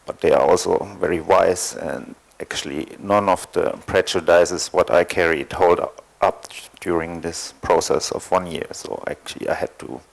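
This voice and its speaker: A man from East Germany, speaking English, telling his story as a panel guest with many pauses and fillers. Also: deep voice, steady tone, creaky, poor audio. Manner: hesitant, thoughtful, many fillers. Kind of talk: panel discussion, personal account.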